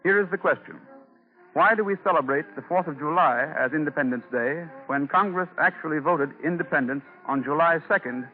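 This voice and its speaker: A man's voice: on the high side, a little fast, soft background music. A man reading a scripted presentation on a 1940s radio program. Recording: tinny old 1940s radio broadcast.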